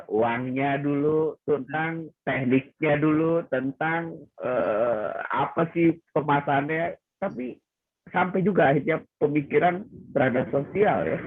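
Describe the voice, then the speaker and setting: A man hosting an interview, slow and hesitant with many fillers, often affirming his guest. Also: a little high. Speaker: interview host. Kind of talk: casual interview. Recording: tinny phone-line audio.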